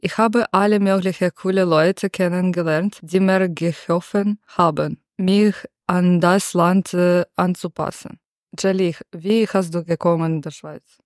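A woman, hosting a student podcast in slow, careful learner's German with frequent pauses. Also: lowish voice, fairly even intonation, no room sound, studio quality. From Ukraine. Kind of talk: school podcast interview.

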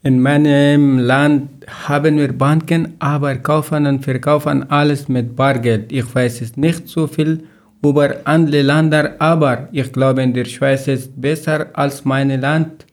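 A man from Afghanistan co-hosting a casual podcast in careful learner German, speaking slowly and deliberately. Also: medium pitch, fairly even intonation. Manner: slow, careful phrasing. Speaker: podcast co-host.

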